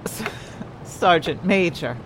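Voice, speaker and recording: A woman's voice: lowish voice, expressive, slow pace, noisy background. Woman playing a lilting, doting older mother. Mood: fond, welcoming. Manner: soothing, fussing politely. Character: placid, doting older English wife and mother. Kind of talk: audio drama acting.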